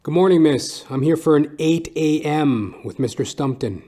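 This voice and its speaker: A man playing a sardonic, world-weary private detective, narrating deadpan in hard-boiled style for an audio dramedy. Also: fairly high pitch, normal speed, lightly acted. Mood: sarcastic, casual. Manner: wry, deadpan wisecracking. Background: music behind the voice.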